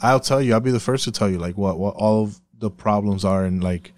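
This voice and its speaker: A man's voice: on the low side, brisk, darkish tone, dry recording. A man from Puerto Rico in a casual, profane discussion, speaking emphatically and often circling back to repeat himself.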